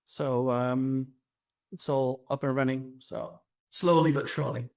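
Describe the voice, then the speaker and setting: A man's voice: mid-range voice, fairly even intonation, measured pace, creaky, darkish tone. A middle-aged man from Belgium with a Flemish accent, answering interview questions casually with lots of ums and restarts. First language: Dutch. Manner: hesitant, many ums and restarts. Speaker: real estate agency CEO.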